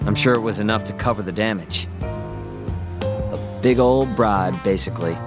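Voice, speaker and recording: A man's voice: fairly low pitch, measured pace, natural voice. An actor voicing a glib male informant in a game dub, laying out shady dealings in a casual, wry, slangy way. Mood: wry, knowing. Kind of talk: English game dub dialogue, conversational exposition. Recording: music behind the voice.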